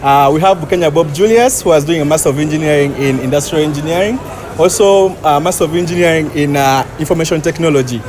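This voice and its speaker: A man's voice: a little high, quick pace, background noise. A young man with a Ugandan accent speaking earnestly and formally as spokesman for a group of graduates. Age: young adult. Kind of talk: informal spoken address to media. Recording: outdoor crowd and street sounds.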